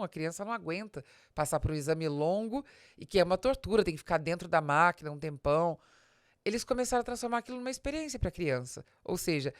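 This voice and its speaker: A Brazilian woman with a fairly low voice presents a business podcast. She explains in a didactic, direct way, stressing key words and putting questions to the listener. The sound is dry, with very little room in it. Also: dry recording. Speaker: podcast host and business advisor.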